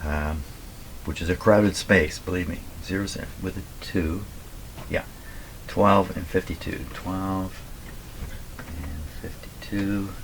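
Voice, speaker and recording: A man with a very deep, slightly hoarse voice talks casually as he works at a workshop bench. He goes very slowly, often half to himself, reading out details with care. Clear background noise runs behind him. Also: fairly even intonation. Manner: muttering to himself, reading out settings slowly and deliberately. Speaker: radio repairer and video host. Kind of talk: casual running commentary while working. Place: radio repair workshop at a bench. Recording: clearly noisy workshop recording with clicks.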